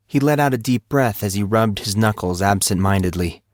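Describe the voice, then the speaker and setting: Guy narrating a novel, deepish voice, scripted and dramatic, super dry recording. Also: moderate pace, no echo. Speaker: audiobook narrator.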